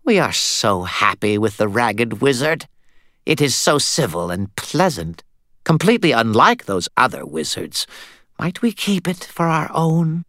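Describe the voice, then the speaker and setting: A man voicing a petite, giddy, bloodthirsty female vampire in an audiobook, in a gushing, breathy manner. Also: medium pitch, very animated, a little slow, a bit bright, no echo, clean studio sound, pitched above the performer's own voice. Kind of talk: audiobook character voice.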